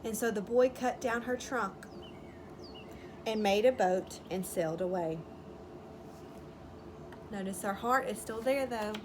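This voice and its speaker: A woman's voice: medium pitch, a little slow, pauses often, background noise. A woman reading a story aloud to young children as a teacher would, explaining and asking simple questions.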